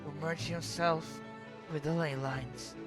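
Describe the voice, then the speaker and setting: A man streaming a video game live, chatty, giggly and excitable. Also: a little high, slow, music in the background. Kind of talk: casual live-stream chat.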